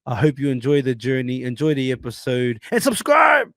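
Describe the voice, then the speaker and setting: A man's voice: medium pitch, wildly swooping pitch, moderate pace, fairly bright tone, no room sound, studio quality. A man hosting a podcast in a casual, friendly way, calling people "brother". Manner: friendly, calls listeners and guest "fam" and "brother". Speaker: podcast host.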